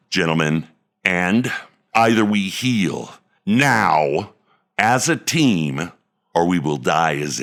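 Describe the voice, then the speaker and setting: A man voicing an aging football coach who gives a locker-room pep talk with heavy emphasis in a gravelly voice. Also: low-pitched, a bit bright, exaggerated voice. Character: aging male football coach, worn down and fierce. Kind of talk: dramatic monologue read.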